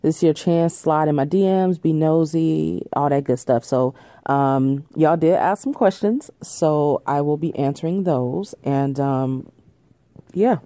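A woman's voice: deep voice, measured pace, dark tone, no room sound. A woman in her thirties hosting her podcast, answering listener questions in a chatty way with lots of ums and laughs. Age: 30s. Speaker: podcast host. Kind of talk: casual Q&A chat.

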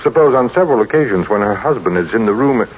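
A muffled old radio drama recording with light noise carries a deep male voice. A man acts out a cold, imperious businessman, suspicious and decisive. He speaks brusquely, giving orders as one used to being obeyed. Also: lively intonation, quick pace, lightly acted. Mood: probing, controlled. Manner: brusque, clipped, commanding.

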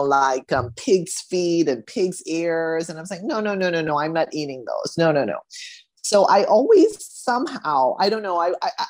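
Low-voiced woman from the Bahamas. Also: darkish tone. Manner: thoughtful, hesitant, many restarts. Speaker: guest. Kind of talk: casual interview conversation.

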